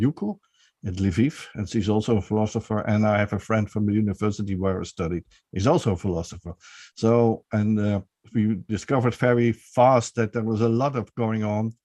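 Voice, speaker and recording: A man's voice: fairly low pitch, mellow, dry recording. Hesitant male podcast host. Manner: hesitant, many restarts and fillers, laughs often. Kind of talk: casual online talk show.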